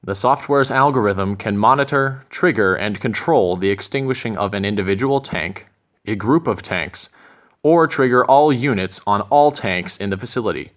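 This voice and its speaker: A man reading scripted narration for a corporate training film in a measured, methodical way. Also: on the low side, fairly bright tone.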